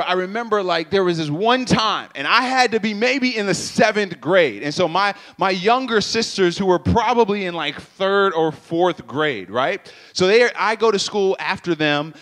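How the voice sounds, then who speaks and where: A young man speaking on a stage with a handheld mic, telling an animated story, acting out sound effects and talking straight to the crowd. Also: sits high. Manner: animated, acts out sounds and voices, addresses crowd directly. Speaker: speaker at a church youth event. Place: stage in a purple-lit hall. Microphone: handheld microphone.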